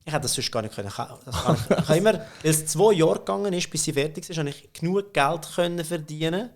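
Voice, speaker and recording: Chatty Swiss German man, fairly high voice. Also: expressive, fast. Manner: chatty, laughs often, many fillers and restarts. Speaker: podcast guest, company CEO. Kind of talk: casual conversational storytelling.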